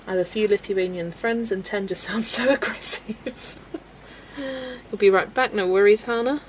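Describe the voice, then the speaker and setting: A young woman chats easily at her craft desk as she colours on a livestream, her voice sitting at an ordinary middle pitch. She laughs often and talks half to herself while she works. Faint pencil scratching and a slight hiss sit behind her. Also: moderate pace, low-quality recording. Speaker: livestream host. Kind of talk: casual chat with a live chat while colouring.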